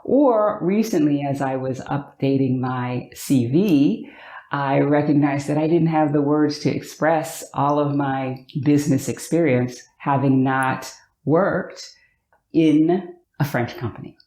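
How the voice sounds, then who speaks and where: Upbeat American woman vlogger, echoey room. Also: low-pitched. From Virginia, USA. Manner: upbeat, expressive, slows to stress words. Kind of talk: talking to camera.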